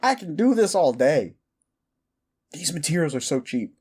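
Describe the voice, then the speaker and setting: A man's voice: fairly high pitch, very animated, moderate pace, a little mellow, breathy edge. A man playing a quirky, mischievous necromancer in a comedic audio roleplay, rambling eagerly and casually with slangy stammers. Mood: boastful, casual.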